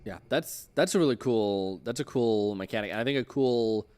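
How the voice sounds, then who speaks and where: A man's voice: medium pitch, moderate pace, a little mellow, clear, resonant tone. A man hosting a livestream interview, chatting casually as he puts questions to a guest.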